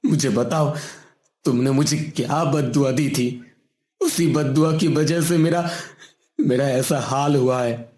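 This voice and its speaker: A man's voice: medium pitch, slow pace, darkish tone, reverberant, lightly acted. A man playing a desperate pleading wrestler. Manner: pleading, begging. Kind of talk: Hindi cartoon voice acting.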